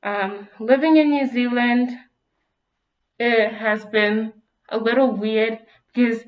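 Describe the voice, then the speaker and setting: A woman's voice: a little high, steady tone, dull audio. A teenage girl from Zimbabwe, raised in South Africa, answering interview questions at an easy pace with some fillers. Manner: unhurried, hesitant with fillers. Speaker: student interviewee.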